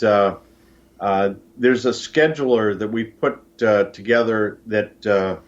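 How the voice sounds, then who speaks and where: A man answering as an interview guest in a deliberate, earnest, conversational way with frequent pauses. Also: on the low side. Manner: deliberate, earnest, pausing often. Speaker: interview guest, event organizer. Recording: muffled, band-limited call-in audio.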